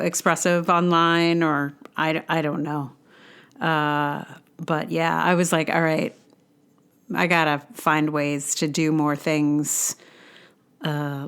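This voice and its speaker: A middle-aged woman talks through her thoughts as a solo podcast host. Her voice is slightly low and very clean, and she takes her time. The delivery is informal and hesitant, wandering along with wry humor at her own expense. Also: a bit bright, clear, resonant tone. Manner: hesitant, rambling, self-deprecating humor. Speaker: podcast host, playwright and actor. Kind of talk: casual reflective solo podcast monologue.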